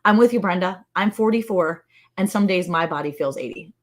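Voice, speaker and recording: A woman's voice: lowish voice, a little fast. A woman in her forties co-hosting a casual livestream from home, chatty and teasing, greeting viewers by name. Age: 40s. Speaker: co-host of a ranch livestream.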